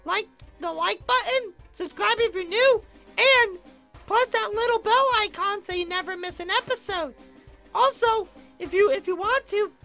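An animatronic ballerina with an extremely high, bright voice. She is whiny, excitable and flustered, drawing her words out at an unhurried pace in a Minecraft roleplay video. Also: exaggerated voice. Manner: exclamatory, dramatic, flustered. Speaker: video channel character host.

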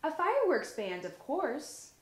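A woman's voice: sits high, huge pitch swings, measured pace, voice pushed up, full character voice. A woman voicing an eager, stubborn little girl pig in a storybook, with childlike insistence. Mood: cheeky. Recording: roomy indoor echo.

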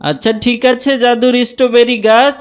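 A woman's voice: a little high, steady tone, brisk, clean tone, natural voice. A woman voicing a mild, puzzled village father in a children's cartoon. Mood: agreeable.

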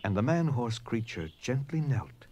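A man narrating a dramatized story theatrically, with dramatic pauses and hushed emphasis. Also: mid-range voice, very animated, no echo. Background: music.

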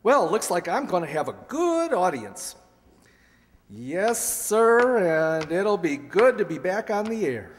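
Slow, fussy radio comedian played by a man. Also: sits high, sing-song, faint music behind, exaggerated voice. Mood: pleased. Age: older. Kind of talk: old-time radio comedy acting on stage. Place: community hall stage. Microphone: stand microphone. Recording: echoey hall stage recording.

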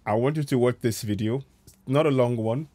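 A man's voice: medium pitch, a little fast, warm tone. A middle-aged man hosting his own online show, earnestly reflecting on a video and repeating phrases for emphasis, speaking through a headset. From Yoruba Nigeria. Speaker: host. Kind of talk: reflective commentary on a played video. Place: home room with headset. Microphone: headset mic.

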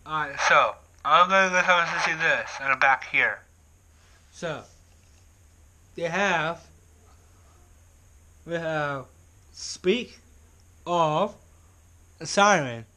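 A young man vlogging from his living room, excitedly talking through a handheld bullhorn and often repeating and restarting his sentences. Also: fairly high pitch, very slow, pauses often. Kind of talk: casual vlog to camera. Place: living room at home, indoors. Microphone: camera on tripod, talks through a handheld bullhorn.

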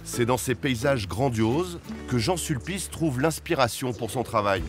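A man giving scripted, measured narration for a television travel report. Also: mid-range voice, lively intonation, over music. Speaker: documentary narrator.

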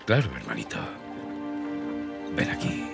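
A restless, roguish older brother from an adventure game. His low voice creaks and swings freely in pitch, with a laid-back delivery and a European Spanish accent. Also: wildly swooping pitch, measured pace, over loud music, exaggerated voice. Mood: restless, candid. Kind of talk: Spanish dub of cinematic game drama.

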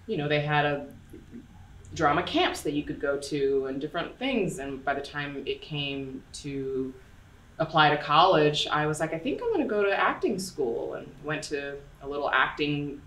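Woman from LA, 40s, chatty interview guest, kinda deep voice, roomy mic sound. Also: moderate pace, recorded from a distance. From Los Angeles, USA. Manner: chatty, animated, jumps into playful voices. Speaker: actress guest. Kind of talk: casual interview chat. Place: dark studio room. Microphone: studio condenser mic on stand.

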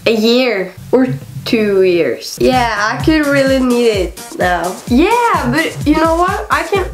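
A woman's voice: high-pitched, sing-song, slow, faint music behind, exaggerated voice. Bubbly young friend with a Norwegian accent, a woman acting in a skit. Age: young adult.

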